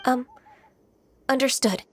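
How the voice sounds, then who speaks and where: A woman playing a gentle, earnest young female immortal in training in a video game, polite and a little hesitant. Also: high voice, slow, background noise.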